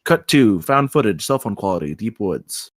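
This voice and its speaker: A man's voice: on the low side, big pitch swings, moderate pace, dry recording, studio quality. A man reading out stage directions as the narrator of a screenplay table read.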